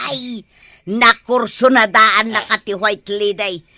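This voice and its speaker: A woman's voice: a little high, drawn-out words, sharp tone, heavily acted. A woman acting a teasing, scolding village wife in an Ilocano comedy radio drama, with drawling exclamations. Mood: amused teasing.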